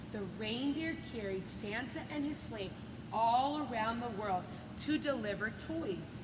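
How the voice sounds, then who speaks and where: A woman reading a children's story aloud and adding simple, encouraging asides like a teacher. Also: fairly high pitch. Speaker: coach reading a picture book to students. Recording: echoey reverberant room with loud background noise.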